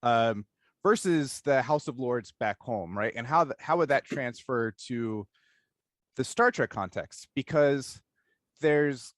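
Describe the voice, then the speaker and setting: A man hosting a casual discussion interview, talking informally and haltingly as he thinks out loud in rambling questions. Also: mid-range voice, lively intonation, no echo, studio quality. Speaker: interview host.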